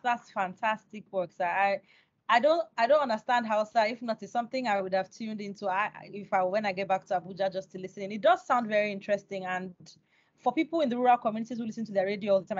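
A woman's voice: medium pitch, no room sound. A young woman interviewing in an encouraging, conversational way as a podcast host. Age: young adult. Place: indoors on a video call. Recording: dry but dull video call.

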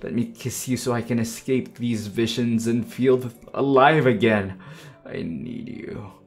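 A man's voice: mid-range voice, unhurried, creaky, soft background music. A man playing an undead demon husband in an ASMR roleplay, obsessively loving and unhinged, theatrical in manner. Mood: pleading, yearning. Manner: theatrical, swinging from tender murmurs to desperate demands. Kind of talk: ASMR roleplay audio acting.

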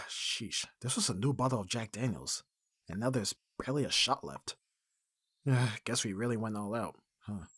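A man's voice: lowish voice, expressive, moderate pace, fairly bright tone, breathy edge, no echo. A man playing a flustered, awkward young best friend in an intimate ASMR roleplay, speaking hesitantly with sighs and stammers. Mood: puzzled, piecing together. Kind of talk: ASMR roleplay, one-sided intimate dialogue.

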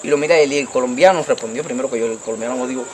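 Animated Dominican man, Puerto Rican accent. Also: medium pitch, racing, heavy background noise. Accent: Puerto Rican Spanish. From Dominican Republic. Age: young adult. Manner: animated, gesturing, repeats "tú me entiendes". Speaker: interviewee. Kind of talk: street interview storytelling. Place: outdoors at night by a wall. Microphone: handheld mic held by interviewer.

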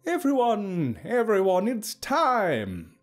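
Storybook elder, played by a male narrator: grand and cheerful, raised pitch, slow ceremonial oratory, music underneath. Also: sing-song, a little mellow. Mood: rallying. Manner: slow, oratorical, emphatic delivery. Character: grand, cheerful old leader addressing his gathered tribe.

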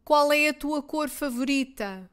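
A woman teacher with a European Portuguese accent, reading simple phrases twice each, clearly and deliberately, for learners. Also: sits high. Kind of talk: slow repeated phrase drills for learners.

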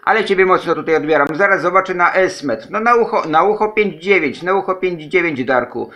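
Bright, forceful man on ham radio. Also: high voice, lively intonation, brisk. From Poland. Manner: chatty, repeats phrases, spells call signs. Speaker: amateur radio operator. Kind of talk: casual ham radio contact.

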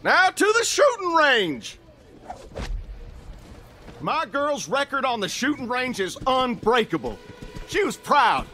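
A man's voice: very high-pitched, sing-song, slow, long pauses, pushed and bright, full character voice. A man playing a folksy, exuberant bereaved father, hosting a party in a video game. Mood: announcing. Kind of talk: video game character acting.

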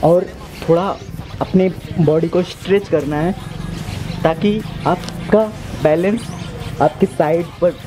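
A man in his twenties talks viewers through a home workout in a fairly high voice. He is casual and instructive, repeating key phrases as he demonstrates. Music plays clearly behind him. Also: slow pace. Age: 20s. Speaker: amateur home fitness instructor. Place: outdoors in a brick-walled yard or rooftop.

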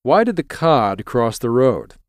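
Deadpan fed-up game sailor, clean mid voice, very dry sound. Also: male voice, mid-range voice, unhurried, no room sound. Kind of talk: video game character acting.

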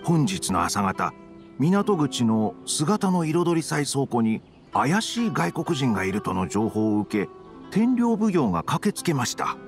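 A man playing a nervous, deferential publishing editor in an anime game, speaking formally and apologetically. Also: medium pitch.

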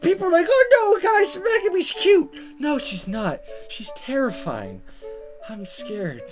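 A woman's voice: sits high, sing-song, unhurried, soft background music. A woman hosting a let's play, reading dialogue aloud dramatically and commenting in a playful, exaggerated way. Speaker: gaming video host.

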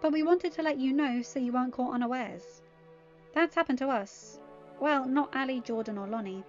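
A woman's voice: high-pitched, normal speed, lo-fi sound, soft background music, voice pushed up, lightly acted. A woman voicing a cheeky, indignant teenage princess with an emphatic, teasing way of talking.

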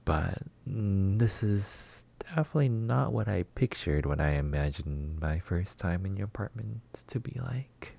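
Man playing a husky, slow-talking flirty neighbor. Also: low voice, lively intonation, warm tone, heavily acted. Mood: shy, flustered. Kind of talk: intimate roleplay ASMR.

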